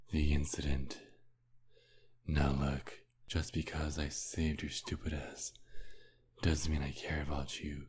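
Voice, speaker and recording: Man acting a very deep, gruff vampire kidnapper. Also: full character voice. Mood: weary, angry. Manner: gruff, curt, grudging. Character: gruff, possessive male vampire kidnapper, grudging. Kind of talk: dark roleplay ASMR monologue. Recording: clear background noise, ambient sound.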